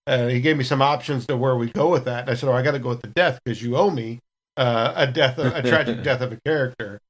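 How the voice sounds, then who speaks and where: A man's voice: medium pitch, quick pace. A man on a podcast, chatting casually and recounting anecdotes as one of the cast. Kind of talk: casual chat, telling anecdotes.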